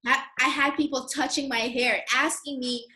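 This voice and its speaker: A youngish woman speaks in a fairly high voice. She chats casually and openly, like a guest swapping experiences with a friend. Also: fairly even intonation, moderate pace, sharp tone. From Curacao, in the Caribbean. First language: not Dutch, a language of Curacao. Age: 20s-30s. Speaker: guest sharing career experiences. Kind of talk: casual conversational discussion.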